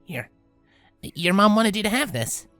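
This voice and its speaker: Woman voicing a cocky, caring pegasus mare, lively. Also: mid-range voice, unhurried, soft background music. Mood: tender. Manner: casual, reassuring, a touch cocky. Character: confident, playfully cocky, caring adult female pegasus pony. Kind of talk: audio storybook dialogue.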